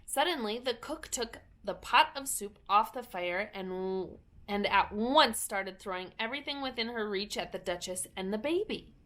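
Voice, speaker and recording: A woman's voice: medium pitch, expressive, normal speed, hardly any pauses, fairly bright tone. Woman reading a children's storybook. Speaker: story reader.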